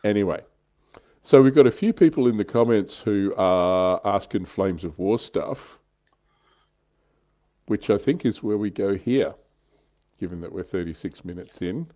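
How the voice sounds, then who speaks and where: A man's voice: lowish voice, lively intonation, a little slow, long pauses, warm tone. A man hosting a livestream in casual hobby chat, with a wry, offhand manner. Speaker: livestream host.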